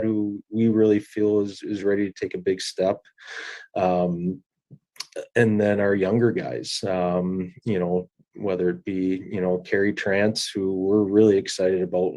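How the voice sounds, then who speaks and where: A man answering interview questions as a hockey coach, halting and repeating words as he thinks. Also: fairly low pitch, dark tone.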